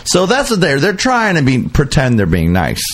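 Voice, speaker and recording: A man hosts a casual gossip show with a very lively, swooping pitch. His delivery is sassy, catty and campy, built for comic banter. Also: normal speed. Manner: sassy, catty, campy, acts out imagined quotes. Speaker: podcast host.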